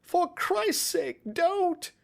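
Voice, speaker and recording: A man voicing a cringing, terrified male thief in an audiobook, with a cracked, shrill, pleading voice. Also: extremely slow, creaky, full character voice. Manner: pleading, servile, quavering. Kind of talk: audiobook character voice.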